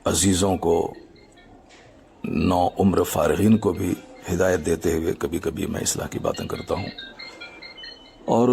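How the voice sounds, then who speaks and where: Very gravelly, low-voiced man. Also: a little mellow. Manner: formal, measured, halting in short phrases. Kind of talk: explanatory public statement.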